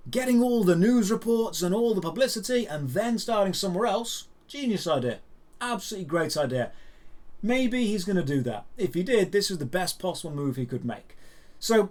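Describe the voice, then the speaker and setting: A man hosting an opinionated geek and gaming news show, talking in a conversational, emphatic way with rhetorical asides. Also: sits high. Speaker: YouTube host presenting geek and gaming news. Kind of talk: opinionated news commentary to camera.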